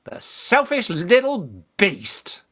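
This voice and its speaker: Farce role: man playing a bossy British young gent, hot-headed, high-pitched, wildly animated delivery. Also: slow pace, exaggerated voice. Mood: indignant. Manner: emphatic, blustering. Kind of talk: Victorian stage farce, radio play acting.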